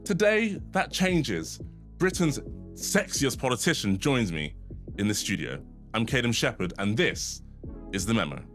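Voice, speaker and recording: A man's voice: medium pitch, sing-song, a little slow, fairly bright tone, faint music behind. A man speaking as the host of an interview podcast, introducing and questioning a guest.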